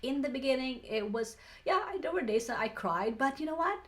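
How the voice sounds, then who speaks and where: A woman from the Philippines with a Filipino accent, teaching an online course lesson in an earnest way, with frequent restarts and soft laughs. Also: sits high, animated, brisk. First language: Filipino language. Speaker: online business coach.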